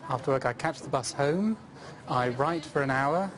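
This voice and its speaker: A man's voice: mid-range voice, normal speed, fairly bright tone, a little hiss or hum. A man giving plain, step-by-step answers in an interview.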